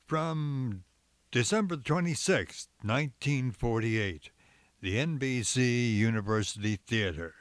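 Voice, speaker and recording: A man speaks slowly in a dry, close studio sound, at a medium pitch. He is measured and conversational, presenting old radio replays as the show's host. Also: no echo.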